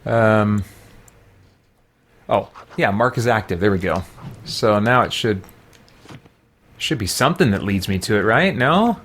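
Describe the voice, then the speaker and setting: A man's voice: on the low side, expressive, measured pace, pauses often, a bit bright. A man giving casual let's play commentary, rambling and thinking aloud as he goes. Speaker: gamer playing and commenting on a role-playing game.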